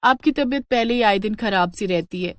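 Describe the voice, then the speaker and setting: A woman voicing a kind, dutiful village paan seller, polite and earnest, in an animated story. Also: mid-range voice, normal speed, no room sound, lightly acted. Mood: caring, earnest. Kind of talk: animated Hindi storybook acting.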